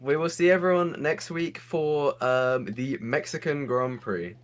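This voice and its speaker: A man's voice: fairly high pitch, unhurried. A young man from England giving casual online race commentary from his computer, chatty and laughing often.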